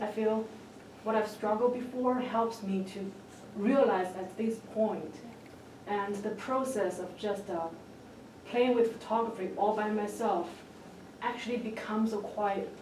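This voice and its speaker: A woman on an academic panel reflects aloud, restarting her phrases as she searches for words. Her voice sits in a middle pitch and sounds distant. The room echoes, with a faint hum underneath. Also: darkish tone.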